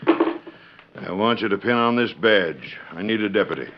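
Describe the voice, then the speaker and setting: An actor playing a gruff, folksy older sheriff in a 1940s radio western, blunt and full of frontier slang. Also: male voice, on the low side, expressive, a little slow, noisy background. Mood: persuasive. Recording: dull 1940s radio recording.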